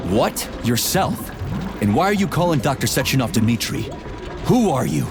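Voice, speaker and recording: A man playing a gruff, irritable, foul-mouthed Soviet army major in a video game, blunt and sardonic. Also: medium pitch, big pitch swings, moderate pace, music in the background. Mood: alarmed, suspicious.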